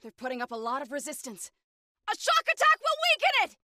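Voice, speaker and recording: Intense, bright-voiced young warrior woman. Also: high voice, wildly swooping pitch, fast talker, dry recording, studio quality, heavily acted. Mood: grim, determined. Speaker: video game voice actor. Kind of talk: scripted game combat barks and companion lines.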